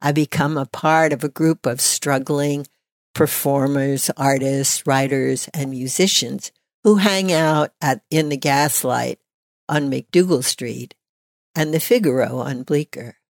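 A woman's voice: deep voice, slow, a little mellow, dry recording, clean studio sound. A woman in her eighties reading her own written personal story aloud as a storyteller. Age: 80s.

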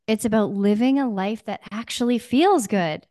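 A woman's voice: a little high, moderate pace, mellow, no room sound, studio quality. A North American woman co-hosting a podcast, chatty and emphatic, talking casually with a friend. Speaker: podcast co-host.

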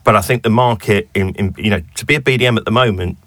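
A man's voice: on the low side, quick pace, fairly bright tone, dry recording. A man guesting on a business podcast, speaking with many restarts and repeated words. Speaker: guest, bank sales director. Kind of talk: podcast interview.

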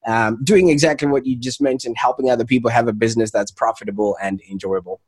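A man's voice: mid-range voice, steady tone, fast talker, a little mellow, husky. A man with a Zimbabwean accent, telling his own story conversationally as an interview guest. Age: adult, 28 or older. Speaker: interview guest, entrepreneur and coach.

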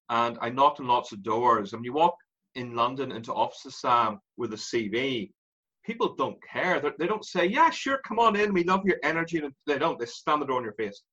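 A man in his forties with an Irish accent, a guest telling his story in an animated way and laughing through it. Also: mid-range voice, husky, highs cut off. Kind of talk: candid interview storytelling.

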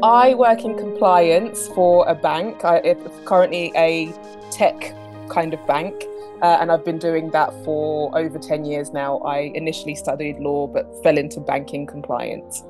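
A woman in her thirties, from Zimbabwe, introduces herself in an interview. She speaks in a measured, matter-of-fact way with a lowish voice. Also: steady tone, music in the background. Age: 30s. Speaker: guest. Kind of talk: interview answers.

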